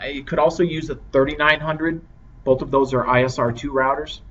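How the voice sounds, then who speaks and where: A man giving a measured, step-by-step software tutorial as an instructor. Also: fairly high pitch, steady tone. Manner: measured, explanatory step-by-step delivery. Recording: dull lo-fi microphone with clear noise.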